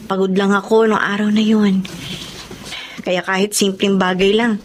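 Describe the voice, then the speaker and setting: A woman playing a caring, self-sacrificing young elder sister in a radio drama, gentle and emotional, with a Filipino accent. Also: mid-range voice, little pitch movement, unhurried, a little hiss or hum, lightly acted. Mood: caring, reassuring. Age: young adult.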